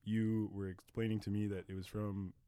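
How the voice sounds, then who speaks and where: A man's voice: fairly low pitch, little pitch movement, moderate pace, dark tone, clean tone, no room sound, studio quality. A young man hosting a casual podcast interview, loose and joking, laughing often. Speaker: podcast host.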